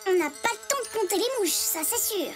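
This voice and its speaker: A tiny cartoon honeybee, with an extremely high, swooping voice. It chatters and grumbles like an overworked little worker, over loud music. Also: expressive, a little fast. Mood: grumbling. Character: small busy honeybee, grumbling about dangers and workload. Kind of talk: children's educational cartoon voice.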